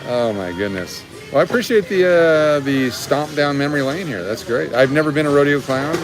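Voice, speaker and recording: A middle-aged man hosting a livestream with casual, joking banter and quick interjections. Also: fairly high pitch, expressive, low-quality recording. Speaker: livestream host. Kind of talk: casual banter, reading viewer comments. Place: indoors in a restaurant. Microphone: handheld phone at arm's length. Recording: music playing behind, busy restaurant. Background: music.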